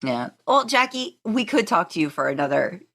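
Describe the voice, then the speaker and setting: A woman's voice: lowish voice, sing-song, normal speed, vocal fry, a bit bright. A woman hosting a podcast in a casual, agreeable, informal chat. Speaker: podcast host.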